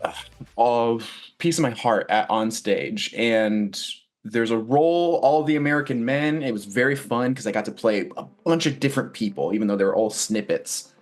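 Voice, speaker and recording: A man's voice: medium pitch, normal speed. A man, a stage actor being interviewed, chatting casually in a joking, rambling storyteller's way. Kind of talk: casual promotional interview chat.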